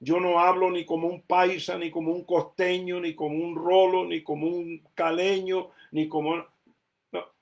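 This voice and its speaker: A man's voice: high voice, flat delivery, normal speed. A Colombian-born man raised in the United States, preaching a conversational sermon with a Caribbean Spanish accent, often repeating words as he thinks. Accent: Caribbean Spanish, Cuban and Puerto Rican influenced. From Colombia, raised mostly in the United States. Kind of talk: sermon teaching.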